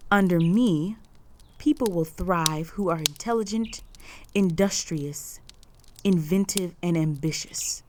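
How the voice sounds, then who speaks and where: Low-voiced, commanding orc chieftain played by a woman. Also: noisy background. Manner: authoritative, formal, measured speech-making. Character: possessive, commanding young female orc chieftain, stern, proud. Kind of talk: roleplay audio drama monologue.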